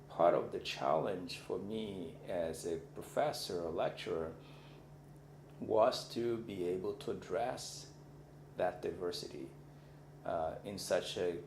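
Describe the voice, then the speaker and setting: Deep gravelly man lecturing. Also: darkish tone. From Mozambique. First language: Xangana. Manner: thoughtful, searching for words. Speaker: university lecturer. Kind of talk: reflective personal lecture. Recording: echoey room with faint background noise.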